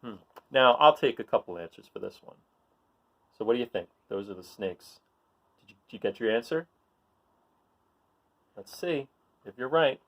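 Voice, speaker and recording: A man's voice: medium pitch, animated, normal speed, pauses often. A man hosting a children's read-aloud, reading a picture book and chatting in an encouraging way, often putting questions to his listeners.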